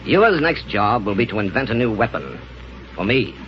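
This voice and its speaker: A ruthless space conqueror from a 1930s radio serial. He is smug, scheming and menacing, speaking in a commanding way. The sound is muffled and clearly noisy, like an old broadcast. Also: lowish voice, big pitch swings, moderate pace.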